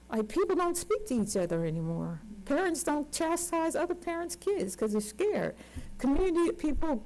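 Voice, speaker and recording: A woman's voice: on the high side, darkish tone, rough recording. Earnest woman in her sixties. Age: 60s. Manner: earnest, emphatic, repeats words for stress. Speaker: community organizer on a panel. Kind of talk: unscripted panel remarks. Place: conference panel.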